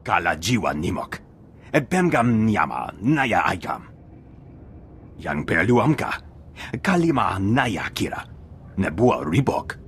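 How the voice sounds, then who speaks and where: A man's voice: on the low side, very animated, slow pace, pauses often, a little hiss or hum. A man voicing a solemn alien cult member in a video game, declaiming in an invented tongue. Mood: solemn, declamatory. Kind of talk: video game cutscene acting.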